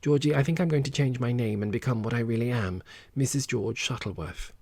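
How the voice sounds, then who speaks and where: A man's voice: medium pitch, brisk, gravelly, a little mellow, dry recording, pitched above the performer's own voice. A man voicing an exuberant, playful woman opera singer who talks in teasing exclamations, in an audiobook. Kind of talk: audiobook character voice.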